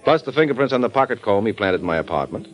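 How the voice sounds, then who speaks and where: An actor playing a wry, teasing private detective who lays out a case in an old radio drama. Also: male voice, lowish voice, sing-song, racing. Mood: explaining. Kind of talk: 1950s radio acting. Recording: dull old radio broadcast with music.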